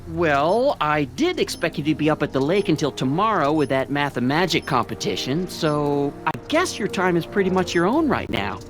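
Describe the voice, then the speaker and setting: A man's voice: on the high side, big pitch swings, normal speed, hardly any pauses, over music. An actor playing a meek, middle-aged American small-town father in a video game, earnest, folksy and prim. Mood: earnest, proud. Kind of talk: animated video game dialogue acting.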